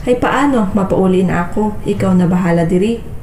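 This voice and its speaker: A woman's voice: mid-range voice, moderate pace, music in the background, lightly acted. A woman playing a hardworking, caring young girlfriend in an amateur radio drama, earnest and conversational, with a Western Visayan Filipino accent. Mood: concerned, resigned. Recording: roomy echo, faint background noise.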